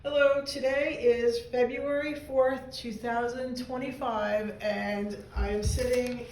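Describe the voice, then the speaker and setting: A man's voice: extremely high pitch, fairly even intonation, slow, roomy sound, rough recording. A man conducting an oral history interview, working through prepared questions with short prompts.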